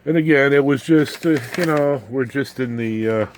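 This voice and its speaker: A man giving an informal, unscripted electronics demonstration, haltingly, muttering asides as he works with his hands. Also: mid-range voice, slight background noise. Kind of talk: informal unscripted technical show-and-tell.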